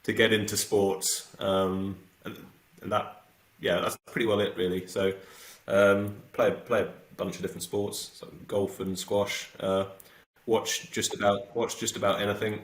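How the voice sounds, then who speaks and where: A man's voice: on the low side, level tone, unhurried, reverberant, rough recording. A middle-aged man from the south coast, a podcast guest talking casually with many fillers and restarts. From south coast of England.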